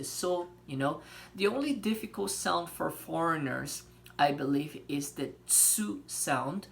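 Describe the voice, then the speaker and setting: Man, gravelly. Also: medium pitch, fairly bright tone, a little hiss or hum. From Brazil. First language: Portuguese. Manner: explains patiently with many fillers and check-ins. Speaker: language teacher. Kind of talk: casual video lesson.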